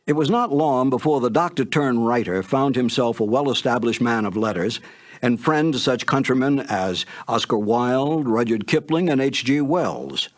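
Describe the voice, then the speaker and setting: A man giving a scripted introduction in a measured, admiring manner. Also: mid-range voice, animated. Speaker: narrator. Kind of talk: scripted documentary narration.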